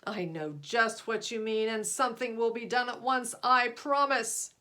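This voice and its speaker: A woman's voice: on the high side, measured pace, bright, forceful tone. A woman voicing a pompous, commanding male chief of aliens who blusters and bellows, in a children's storybook reading. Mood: brisk, commanding.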